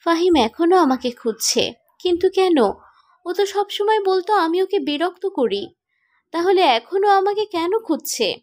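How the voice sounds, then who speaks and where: A woman plays a wounded but stubborn young woman in a cartoon romance. The voice is high-pitched. The delivery is insistent and emotional. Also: expressive, moderate pace, darkish tone. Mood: disbelieving, agitated. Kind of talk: animated romantic drama dialogue.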